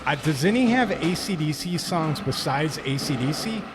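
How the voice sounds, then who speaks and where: A man's voice: on the high side, lively intonation, normal speed, background noise. A man hosting a live stream, chatting casually and teasing his guest with dry jokes. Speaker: stream host. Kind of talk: casual chat. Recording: loud music playing behind. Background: loud music.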